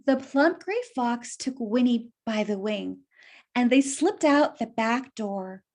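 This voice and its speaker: A woman's voice: fairly high pitch, expressive, takes it slowly, a little mellow. A woman reading a children's story aloud and explaining it simply, as if to young listeners.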